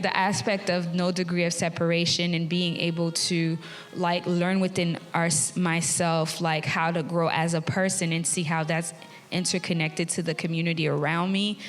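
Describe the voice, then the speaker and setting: A woman from Haiti in her thirties, a tech community founder speaking earnestly on a live theatre panel. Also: lowish voice. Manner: earnest, friendly, with fillers and laughs. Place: theatre stage. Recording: long reverb of a theatre hall.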